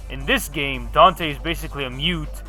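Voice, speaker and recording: Bright, higher-pitched man narrating a game review. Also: moderate pace, faint music behind. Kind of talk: scripted video review narration.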